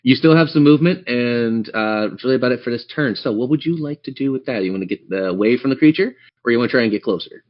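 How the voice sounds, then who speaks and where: A man's voice: mid-range voice, fast, few pauses, lo-fi sound. A man running a tabletop game as game master, narrating the action and chatting encouragingly with players.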